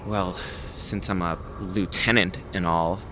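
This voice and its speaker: A man's voice: deep voice, steady tone, measured pace, noisy background. A man playing a cheeky, combative young lieutenant in a science fiction audio drama, casual, blunt and teasing. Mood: combative, wry.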